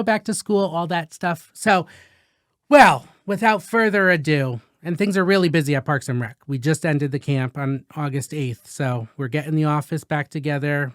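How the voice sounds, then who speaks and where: Young male host, chatty and rambling, smooth tone, close dry mic. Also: a little high, no echo. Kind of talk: casual podcast chat.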